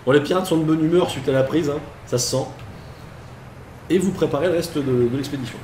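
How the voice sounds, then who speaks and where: A man's voice: on the high side, fairly quick, a little mellow. A man narrating as game master of a tabletop game, hesitant, with many fillers and restarts. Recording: echoey room with clear noise.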